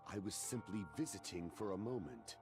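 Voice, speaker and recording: A man playing a grandiose young villain in an anime game, pompous and theatrical, proclaiming himself a dark overlord. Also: low-pitched, sing-song, normal speed, music in the background. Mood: solemn pride. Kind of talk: anime video game voice acting.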